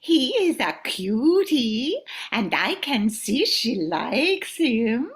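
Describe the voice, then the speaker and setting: A woman's voice: a little high, sing-song, drawn-out words, full character voice. A woman playing a gracious young Swedish dog owner in an amateur radio play, politely spoken. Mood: friendly, pleased.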